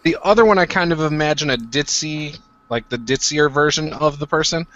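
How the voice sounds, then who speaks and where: A man's voice: fairly high pitch, fairly quick, a bit bright, highs cut off. A man playing in a tabletop game over a video call, chatting in a laid-back, joking way. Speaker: tabletop game player and channel host. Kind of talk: casual chat on a game show.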